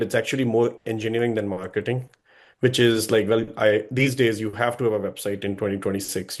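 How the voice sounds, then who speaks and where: A man's voice: fairly low pitch, a little mellow. A man giving a talk to business owners as a guest speaker, offering earnest, direct advice and often correcting himself mid-sentence.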